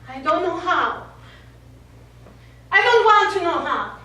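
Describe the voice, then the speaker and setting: War survivor, female stage actor: bitter, wounded, unforgiving; dramatic swings in pitch, very reverberant distant pickup. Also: big pitch swings, bright, forceful tone, slight background noise, heavily acted. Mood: stubborn, bitter. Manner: anguished, slow, resentful. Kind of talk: stage play acting. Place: theatre studio stage. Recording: very echoey stage, distant mic.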